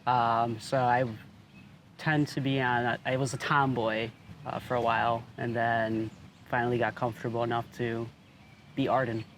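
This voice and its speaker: Man, 30s, candid interview, halting with fillers, flat delivery. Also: mid-range voice, slight background noise. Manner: hesitant, candid. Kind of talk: documentary interview.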